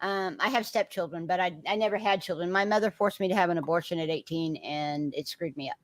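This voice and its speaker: A woman's voice: fairly low pitch, a little fast, no room sound. A woman, chatting candidly on a group live stream and laughing often. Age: 60s. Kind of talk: casual group video chat.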